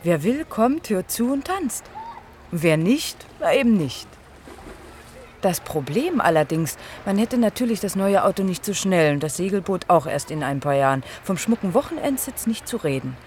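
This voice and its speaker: A woman's voice: on the low side, big pitch swings, normal speed, a little mellow, a little hiss or hum. An actress playing a teasing, challenging student in her twenties in radio drama dialogue, with a pointed, ironic delivery. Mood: probing. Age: young adult.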